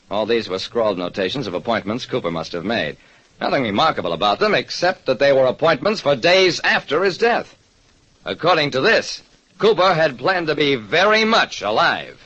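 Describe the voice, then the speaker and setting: A man's voice: a little high, a bit bright. A man playing a shrewd, wry hardboiled private detective narrating an old radio mystery. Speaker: narrator and lead actor. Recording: muffled old radio recording.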